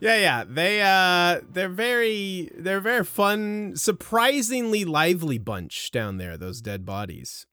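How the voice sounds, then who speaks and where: A man's voice: sits high, expressive, a little slow, hardly any pauses, bright, forceful tone, dry recording. A man co-hosting a podcast in casual banter, teasing and sarcastic, a bit nasal from a cold. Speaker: podcast co-host.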